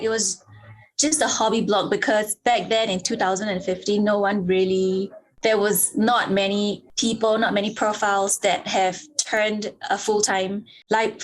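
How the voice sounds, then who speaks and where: A woman's voice: medium pitch, low-quality recording. A young woman from Singapore, telling her story fluently and eagerly as an interview guest on a remote call. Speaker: guest, travel blogger.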